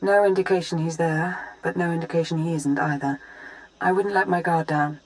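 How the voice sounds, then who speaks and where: A composed intelligence strategist played by a woman with a fairly low voice, in a sci-fi game. She is cool and resolute, choosing precise, formal words. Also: fairly even intonation, moderate pace, natural voice, low-quality recording. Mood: guarded. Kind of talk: video game dialogue acting.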